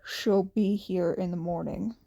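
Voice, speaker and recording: Woman doing a gruff, terse male hero. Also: lowish voice, fairly even intonation, unhurried, dark tone, voice pushed down. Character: grumbling, stern adult male underground hero.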